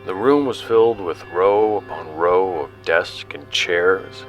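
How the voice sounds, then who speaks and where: A man playing an explorer who recounts his expedition in a sci-fi audio drama, halting, informal and conversational. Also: low-pitched. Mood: hushed wonder. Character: young male explorer recounting his expedition, awed and casual. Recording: music under the voice.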